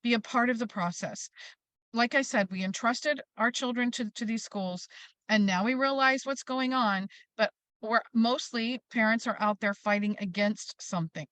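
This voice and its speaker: A middle-aged American woman with a slightly high-pitched voice, recorded dry with little room sound. She talks as an impassioned interview guest making her case. Her delivery is emphatic and story-driven, slipping into quoted asides. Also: normal speed, a bit bright, no room sound. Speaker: interview guest, parents' advocacy group leader. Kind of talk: passionate advocacy talk in an interview.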